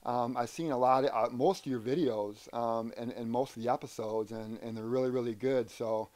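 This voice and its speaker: A middle-aged or older man with an American accent answering interview questions earnestly, often repeating words haltingly. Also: medium pitch, steady tone, a little mellow. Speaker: interview guest, aspiring rock singer. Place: wooden sunroom indoors.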